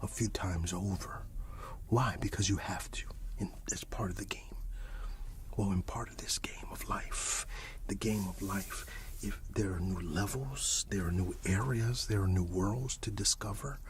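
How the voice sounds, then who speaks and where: A man giving an encouraging, rambling ASMR monologue that slips between a breathy whisper and soft speech, often repeating himself. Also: low-pitched, unhurried, background noise. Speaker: ASMR channel host.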